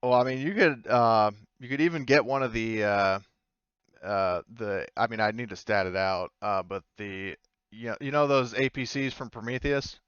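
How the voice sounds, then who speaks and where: A man's voice: fairly low pitch, normal speed, a bit bright, smooth, no room sound, dull audio. A man acting as game master, chatting informally and thinking aloud as he runs a tabletop game. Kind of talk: casual tabletop game narration and chat.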